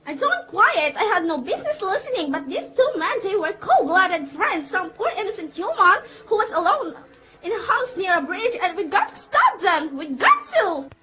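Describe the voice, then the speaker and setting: Woman acting a very high-pitched, fretful invalid wife. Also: big pitch swings, moderate pace, pushed and bright, slight background noise, full character voice, low-quality recording. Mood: frantic, demanding. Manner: fretful, imperious, melodramatic. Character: anxious, demanding invalid wife alone at home. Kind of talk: radio drama acting.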